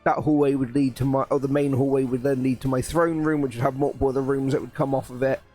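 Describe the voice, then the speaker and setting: A man chatting casually on a live stream, his voice a little croaky, rambling with restarts and sounding excited about his plans. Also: medium pitch, fast, soft background music. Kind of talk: casual live-stream chat while building.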